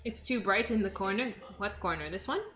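A woman's voice: medium pitch, lively intonation, fairly quick, fairly bright tone, slight background noise. A young woman from Los Angeles chatting casually with her stream while cooking in a home kitchen, answering chat as she chops. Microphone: desk microphone on the counter.

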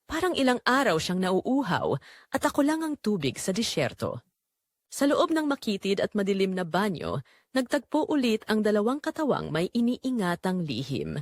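Woman narrator, Filipino accent, animated intonation, dry close sound, dramatic first-person romance storytelling. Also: mid-range voice, normal speed, dry recording.

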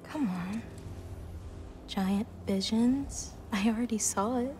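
A woman voicing a gentle, nurturing, self-possessed teenage girl in a fantasy video game, with a soft, coaxing manner. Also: a little high, slow, a little hiss or hum. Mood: gentle, knowing. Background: music.

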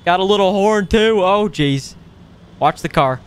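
A man hosting a gaming video with casual, playful roleplay commentary, excitable and given to exclaiming. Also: high-pitched, a little slow, clear, resonant tone. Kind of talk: casual improvised roleplay commentary over gameplay.

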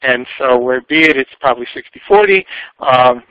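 An older man speaking informally in a webinar, halting and wandering into asides. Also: mid-range voice, no echo. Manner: halting, digressive, trailing asides. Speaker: speaker at a professional society webinar. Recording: dull, lo-fi webinar audio.

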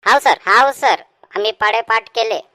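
A woman's voice: a little high, normal speed, bright, forceful tone, dry recording, clean studio sound. A woman voicing a cheeky, argumentative village schoolboy in a comic cartoon, with a Vidarbha Marathi accent. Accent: Marathi, Vidarbha region. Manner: brazen back-talk.

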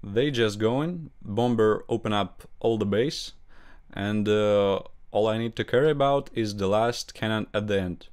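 A young man with a Russian accent, a gaming channel host commenting over his gameplay in halting English, with grammar slips. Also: on the low side, a little mellow, clean tone. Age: young adult.

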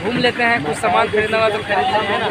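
A man's voice: sits high, little pitch movement, fast. A young man vlogging on a phone held at arm's length, chatting casually to his viewers as he walks outdoors to a busy village market. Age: young adult. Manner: chatty, addresses viewers as friends. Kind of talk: casual daily vlog narration. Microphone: phone camera held at arm's length. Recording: crowd and traffic noise behind. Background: market crowd and passing vehicles.

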